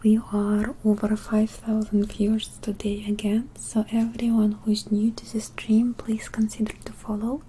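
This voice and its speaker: A woman with a Russian accent hosting an ASMR livestream in a soft, breathy whisper, speaking deliberately and repeating phrases. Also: medium pitch, monotone, a little hiss or hum, poor audio.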